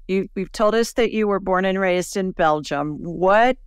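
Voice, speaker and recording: Smooth-voiced woman host asking questions. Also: mid-range voice, unhurried, dry recording, clean studio sound. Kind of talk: interview questions. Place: home studio room. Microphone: podcast mic on a stand.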